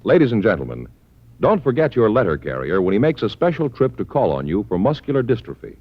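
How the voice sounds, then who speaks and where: A man's voice: on the low side, big pitch swings, quick pace. An American man announcing in a polished, emphatic 1950s radio style. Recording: muffled old radio recording. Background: brass music.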